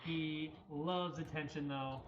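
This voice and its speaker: A woman's voice: deep voice, steady tone, takes it slowly. A woman co-hosting a cat cafe livestream with casual, unscripted chat indoors. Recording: roomy, dull livestream sound with music. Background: background music.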